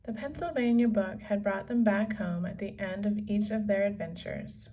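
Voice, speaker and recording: A woman reading a children's story aloud as narrator, with measured, deliberate phrasing. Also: medium pitch, mellow. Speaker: storybook reader. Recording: slightly distant from the microphone.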